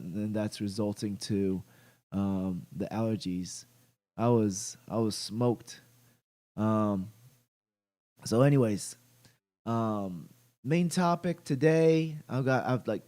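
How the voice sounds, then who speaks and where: A young man hosting a casual livestream about gaming, stuffy from allergies, talking haltingly with many fillers and restarts. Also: mid-range voice, darkish tone. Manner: greets chat viewers by name. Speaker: gaming channel host.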